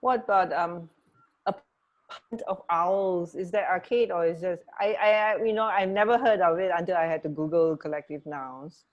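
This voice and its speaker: A woman with a slightly low voice chats informally as a radio co-host. She talks in a halting way, often breaking off and rephrasing as she goes. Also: lo-fi sound. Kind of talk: casual co-hosted radio chat.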